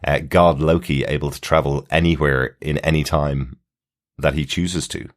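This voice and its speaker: A man's voice: a bass voice, fairly even intonation, moderate pace. A man hosting a podcast in a casual, chatty, conversational way. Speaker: podcast host. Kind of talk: casual TV discussion, reading listener email.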